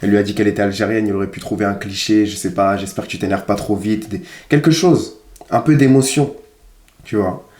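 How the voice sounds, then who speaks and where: A man's voice: fairly low pitch, steady tone, a little fast, darkish tone, reverberant. A man giving casual, critical commentary as a dating coach, emphatic and repeating phrases for stress. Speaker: dating coach reviewing footage.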